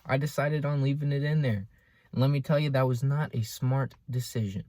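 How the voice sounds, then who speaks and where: Young american dude storytime vlog, kinda sarcastic and dramatic, mid pitched voice, really dry audio. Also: male voice, steady tone, brisk, mellow, no echo. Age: young adult. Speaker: vlogger. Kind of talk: casual personal storytelling. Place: inside a car.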